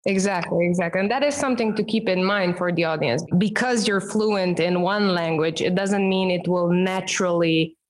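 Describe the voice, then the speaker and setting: Romanian-born woman, measured interview guest. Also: fairly low pitch, poor audio. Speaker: guest, professional coach and public speaker. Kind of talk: podcast interview answers.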